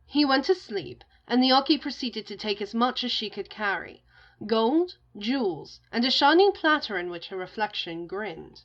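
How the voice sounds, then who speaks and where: A woman's voice: fairly high pitch, lively intonation, normal speed, a bit bright, dull audio. A woman narrating a folktale as a storyteller, in a scripted storybook style.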